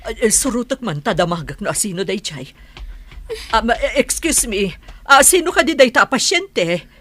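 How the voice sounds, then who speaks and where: A woman's voice: a little high, lively intonation, a bit bright. A woman playing an anxious, nagging older village mother in an Ilocano radio drama, with an Ilocano accent and plenty of exclamations. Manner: nagging, exclamatory, quick to interject. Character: anxious, gossipy older village mother fretting over her daughter.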